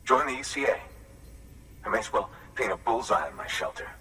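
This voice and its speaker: An actor playing a gruff, wary craftsman in a video game, with folksy, plainspoken phrasing. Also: fairly low pitch, very animated, a little fast, pushed and bright, recorded from a distance, slight background noise. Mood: wary, grudging. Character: gruff, wary but appreciative shelter-dwelling craftsman. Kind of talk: video game cutscene acting.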